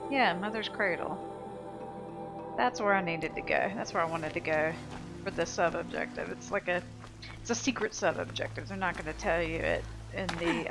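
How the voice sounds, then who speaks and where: A woman's voice: medium pitch, creaky. A woman giving casual, off-the-cuff commentary as she plays a video game. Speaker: gaming video host. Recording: game music behind the voice. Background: game music.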